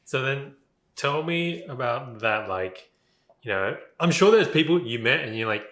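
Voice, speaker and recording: A man's voice: medium pitch, expressive, measured pace, a bit bright, reverberant. A man interviewing casually, rambling through his questions with lots of "like" and "right?".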